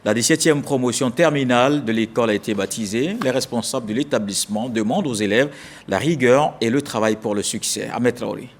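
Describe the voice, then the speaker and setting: A man's voice: medium pitch, a little fast. A man presenting scripted television news as an anchor, introducing reports.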